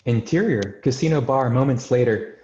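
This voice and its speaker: A man's voice: medium pitch, normal speed, warm tone. A man narrating a screenplay's stage directions in a scripted table-read style. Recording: roomy, dull remote call audio.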